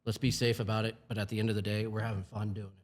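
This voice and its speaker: A man in his forties with a low voice talks earnestly about his work as a fire supervisor. His speech is plain and full of asides. He sounds like a casual podcast guest. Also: flat delivery, very fast. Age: 40s. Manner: earnest, plain-spoken, many "you know" asides. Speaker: firefighting supervisor.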